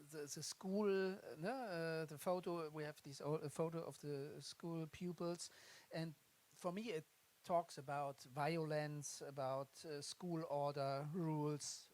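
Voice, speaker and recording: A person leading a masterclass discussion, speaking slowly and searchingly, often ending phrases with "no?". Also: mid-range voice. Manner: slow, searching, tag question "no?". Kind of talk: reflective discussion.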